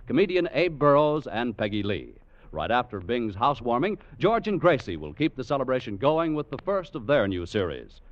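Male American announcer, 1940s network style, polished and lively, medium pitch, muffled old broadcast. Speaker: radio announcer. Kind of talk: 1940s radio announcing, scripted promo. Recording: muffled vintage radio recording.

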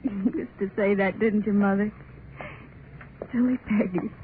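An actress playing an anxious expectant mother in a 1930s radio drama, speaking in a halting, dreamy inner monologue. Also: mid-range voice, steady tone, a little hiss or hum, lightly acted. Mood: dreamy, brooding. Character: anxious expectant mother, brooding over her unborn child's future. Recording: tinny 1930s radio broadcast with music.

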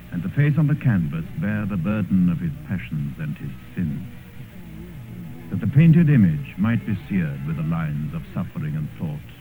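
An actor playing a worldly, cynical gentleman who also narrates a radio drama in a suave, measured, eloquent way. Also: low voice. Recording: noisy, muffled vintage radio recording.

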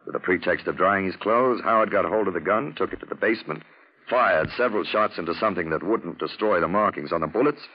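An actor playing a suave, witty, self-satisfied gentleman detective in a 1940s radio drama, with an urbane, theatrical manner of explaining. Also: deep voice, quick pace, slightly rough. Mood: smug, explaining. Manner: urbane, theatrical, drawling explanations in lettered points. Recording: dull, muffled vintage radio recording.

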